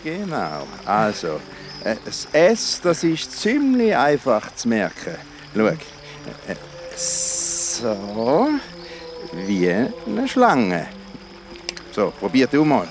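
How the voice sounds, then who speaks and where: Patient young monastery tutor, unhurried and instructive, expressive pitch, children's audio drama. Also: male voice, faint music behind, heavily acted. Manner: measured, instructive. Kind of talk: children's radio play acting.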